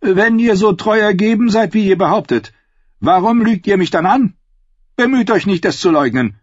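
A cold, scornful queen in an audiobook, firing off sharp, contemptuous questions, as a male reader puts her on. The voice sits a touch high and husky, in a dry, slightly dull recording. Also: animated, normal speed, dry recording.

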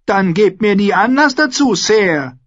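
Arrogant, shrill boy king. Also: animated, measured pace, fairly bright tone, dry recording, highs cut off, exaggerated voice. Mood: haughty. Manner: imperious, boastful. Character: arrogant, cruel, excitable teenage boy king. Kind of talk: fantasy audiobook character acting.

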